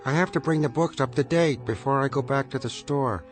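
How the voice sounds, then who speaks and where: An actor playing a grumpy, penny-pinching older husband in a radio drama, exasperated, sarcastic and pompous. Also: male voice, mid-range voice, a little fast, faint music behind, close to an everyday voice. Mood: preoccupied.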